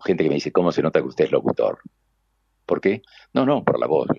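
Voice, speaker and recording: Deep gravelly older Argentine man. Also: big pitch swings, a little fast, dry recording, highs cut off. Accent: Argentine Spanish. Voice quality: deep booming voice. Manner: warm reminiscing, acts out quoted voices. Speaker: radio announcer. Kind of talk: conversational storytelling.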